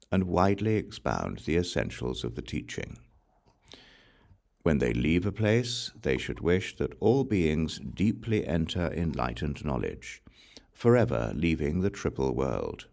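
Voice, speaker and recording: A man with an extremely deep voice reads scripture aloud in a solemn, measured way. His delivery is slow, yet the pitch rises and falls in a lively cadence. It is a scripted audiobook recitation. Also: gravelly. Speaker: audiobook reader.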